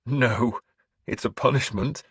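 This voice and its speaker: A man voicing a gentle, earnest scholarly bishop in an audiobook, with mild manners and a preacher's fervour. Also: medium pitch, lively intonation, measured pace, breathy edge, dry recording, clean studio sound, voice pushed up. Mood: amused, candid. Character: gentle, earnest scholarly priest turned bishop, quietly sly.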